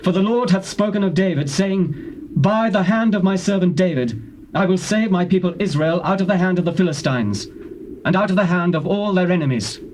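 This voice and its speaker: Casting: male actor for forceful biblical commander, high voice, theatrical archaic delivery, slightly noisy tape recording. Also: fairly quick, lightly acted. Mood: urging. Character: Abner, forceful male army commander pledging allegiance. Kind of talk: dramatized Bible reading. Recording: noisy old cassette tape transfer.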